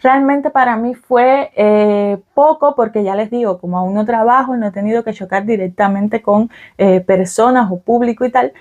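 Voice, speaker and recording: A woman's voice: a little high, normal speed, hardly any pauses, a little mellow, highs cut off. A young adult woman from Cuba with a Cuban Spanish accent, talking casually to camera in a vlog, explaining with fillers and "ok?" check-ins. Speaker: vlogger.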